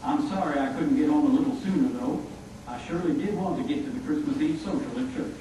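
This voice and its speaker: A man voicing a folksy, gentle frontier father in a puppet show, telling things earnestly. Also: fairly high pitch, fast talker, lightly acted. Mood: warm. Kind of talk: scripted church puppet show dialogue. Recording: echoey room, distant mic, faint noise.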